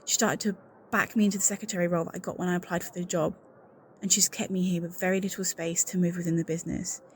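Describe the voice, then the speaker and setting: A woman's voice: on the low side, little pitch movement, quick pace, a little hiss or hum, natural voice. A woman playing an anxious, suspicious secretary in an audio roleplay, speaking in an earnest, confiding and insistent way. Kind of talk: scripted audio roleplay acting, one-sided conversation.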